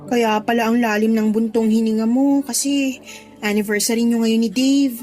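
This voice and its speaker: A supportive young best friend in a radio drama, acted by a woman. She has a high voice and chats in an expressive, coaxing, curious way while music plays softly behind her. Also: moderate pace, lightly acted. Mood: sympathetic. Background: background music.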